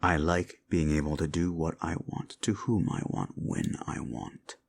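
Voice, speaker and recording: A deep, gravelly, sadistic slasher killer. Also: male voice, fairly even intonation, heavily acted. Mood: cold cruelty. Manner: slow, menacing, theatrical, savouring pauses, drops into whispers. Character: sadistic hulking male slasher killer, gloating and patient. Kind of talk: horror roleplay audio monologue.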